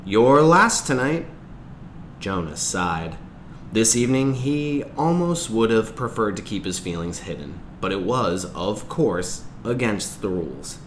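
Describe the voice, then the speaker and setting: A man reading a novel aloud as a teacher, in measured, expressive storytelling narration. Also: on the low side. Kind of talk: audiobook-style read-aloud narration. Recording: clear background noise.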